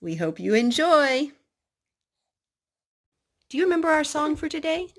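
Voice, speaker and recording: Slow-paced woman reading to children. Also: sits high, sing-song. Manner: child-directed, asks prompting questions. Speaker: host of a children's storytime. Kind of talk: reading picture books aloud to children.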